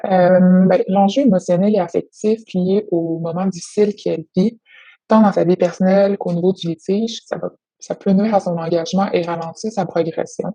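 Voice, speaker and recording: Female clinician. Mellow, slightly raspy, formal and measured, dry recording. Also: fairly low pitch, steady tone, no echo. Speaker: occupational therapist. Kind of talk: professional phone consultation, prepared report.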